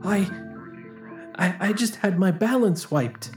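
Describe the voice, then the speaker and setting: A man's voice: high voice, takes it slowly, over music. A man voicing a rueful, determined young woman who narrates her story in a confiding way. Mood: shocked, flustered. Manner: musing, confiding. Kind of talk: first-person dramatized scripted narration.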